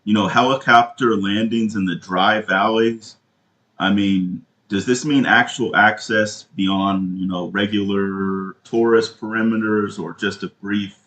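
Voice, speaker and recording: Guy w lowish voice in a roomy echo, customer on a phone call, halting but pushy earnest questions. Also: fairly even intonation, a bit bright. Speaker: caller, prospective customer. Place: indoor room, phone call.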